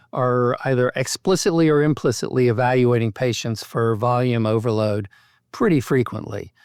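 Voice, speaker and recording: A man hosts a medical interview podcast with a smooth, crisp voice at a medium pitch. His questions are measured and articulate, and the sound is close and dry. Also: darkish tone, dry recording. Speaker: podcast host and medical journal editor.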